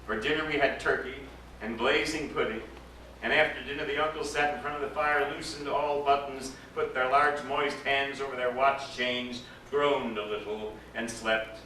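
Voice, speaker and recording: A man giving a dramatic live reading of a story, expressive and theatrical, lingering over his words. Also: medium pitch, normal speed. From United States. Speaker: actor reading a story aloud. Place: event at a ranch, roomy space. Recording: echoey distant live recording with background noise.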